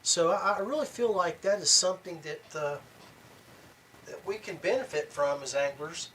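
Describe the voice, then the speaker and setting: A man with a slightly high voice hosts a casual podcast on his own. He speaks in a halting way, pausing mid-phrase, and some noise sits behind his voice. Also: a bit bright, rough recording. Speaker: podcast host. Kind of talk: casual solo podcast talk.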